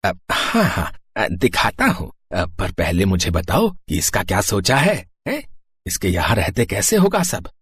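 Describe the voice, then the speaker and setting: Man acting a meddling uncle, swooping husky voice. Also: sits low, huge pitch swings, a little slow, a bit bright, exaggerated voice. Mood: conspiratorial. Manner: hesitant filler sounds, tag questions. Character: meddling, fussy middle-aged maternal uncle. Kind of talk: Hindi cartoon family drama acting.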